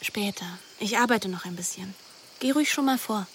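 A woman's voice: mid-range voice, moderate pace, noisy background, natural voice. An actress playing a wilful, moody teenage girl who narrates her summer intimately in a radio drama. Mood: evasive. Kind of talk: first-person radio drama narration and dialogue. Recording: music behind the voice.

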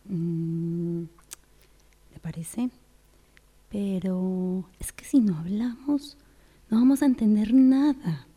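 Woman acting a dark hushed earnest persuader. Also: mid-range voice, slow pace, long pauses. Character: calm, dark-voiced woman earnestly urging a talk. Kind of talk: radio drama acting exercise. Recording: long hall reverb.

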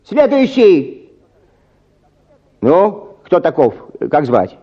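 Irritable, husky petty clerk. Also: male voice, a little high, huge pitch swings, extremely slow, muffled recording, exaggerated voice. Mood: snappish, impatient. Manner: grumbling, snappish, barking orders. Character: irritable, grubby clinic clerk, petty and officious. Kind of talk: Russian radio drama acting.

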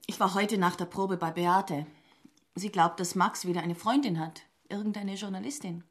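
A woman acting a chatty, inquisitive wife in a German radio drama, conversational and gently probing. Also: medium pitch, moderate pace, natural voice. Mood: casually probing. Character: married woman, chatty, gossipy and inquisitive about her family.

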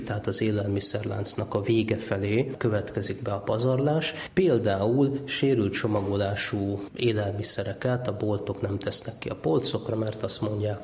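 A man giving explanatory answers in a radio interview as an expert, posing questions and answering them himself. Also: fairly low pitch. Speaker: ecologist and university lecturer, interviewee.

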